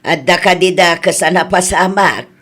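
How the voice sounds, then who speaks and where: A middle-aged mother played by an actress with a slightly low voice and a Filipino accent. She is down-to-earth, probing and exasperated, in radio comedy-drama style. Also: normal speed, fairly bright tone.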